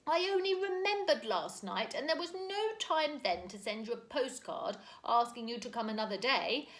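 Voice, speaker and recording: A woman reading aloud, voicing a loud, cheerful older uncle who is given to laughter. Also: expressive. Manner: booming, slow and jovial. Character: jolly round old uncle, loud and cheery.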